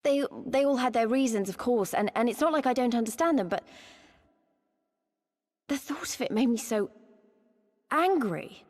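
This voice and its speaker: Casting: young rebel woman for fantasy game cutscene, fairly high voice, earnest and plain-spoken, reverberant hall. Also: lively intonation, a little mellow. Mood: angry disbelief. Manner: heartfelt, halting, plain-spoken. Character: young female resistance fighter, earnest, passionate, self-doubting. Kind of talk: cinematic video game voice acting.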